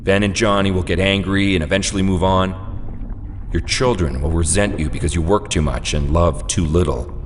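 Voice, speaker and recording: Man acting as grave resolute superhero scientist, very low voice, solemn measured delivery, comic dub, long reverberant hall. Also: a little hiss or hum, lightly acted. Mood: bleak, resigned. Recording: long hall reverb under loud music.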